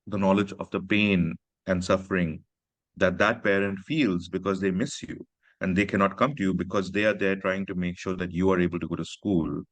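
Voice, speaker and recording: Guy, deep voice, author reflecting, earnest, dry recording. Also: no room sound. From Pakistan. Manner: earnest, measured, personal storytelling. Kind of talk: reflective conversation.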